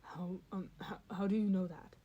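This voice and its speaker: A woman voicing a nervous, hesitant young male hero in a fan fiction reading, with halting, self-conscious delivery. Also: mid-range voice, steady tone, slightly rough, dull audio, lightly acted. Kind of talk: fan fiction podfic character voice.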